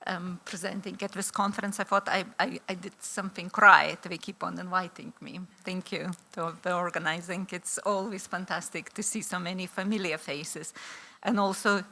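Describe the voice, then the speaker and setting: Bright-voiced Lithuanian woman lecturing. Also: fairly low pitch, fairly even intonation, normal speed, vocal fry. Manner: hesitant, many fillers and restarts. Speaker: academic conference speaker. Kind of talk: conference presentation.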